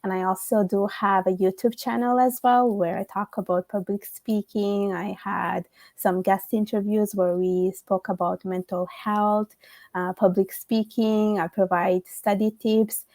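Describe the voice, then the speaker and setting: A young adult woman from Italy, a guest on a remote call, answering with measured phrasing, frequent fillers and small restarts. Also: mid-range voice, steady tone, a little mellow, no echo. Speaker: guest, author.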